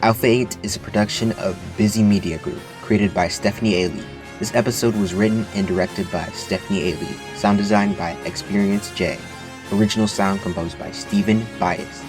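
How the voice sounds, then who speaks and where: A man's voice: on the low side, steady tone, a little fast. A man reading a title and production credits as a scripted announcer. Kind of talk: scripted narration. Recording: music under the voice. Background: music.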